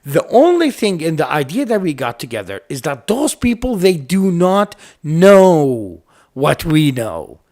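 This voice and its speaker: This man speaks English with an Arabic accent in a fairly high voice. He talks haltingly, full of uhs, likes and restarts, as he co-hosts a casual chat. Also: very animated, a little mellow. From Iraq. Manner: halting, many fillers and restarts. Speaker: podcast co-host. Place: lives in the United States.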